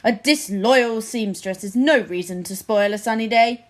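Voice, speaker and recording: A woman voicing a grumpy, callous male lord in a storybook, gruff and dismissive in manner. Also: on the high side, moderate pace, natural voice.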